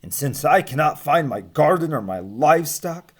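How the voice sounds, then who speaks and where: A man voicing a grumbling, hungry giant in a storybook, loud and slow. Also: on the high side, lively intonation, pitched above the performer's own voice. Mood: grumbling, threatening.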